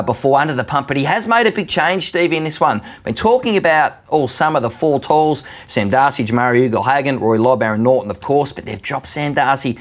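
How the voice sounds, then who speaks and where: An Australian man hosting a football panel show in a chatty, jokey manner. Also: medium pitch. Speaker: sports show host.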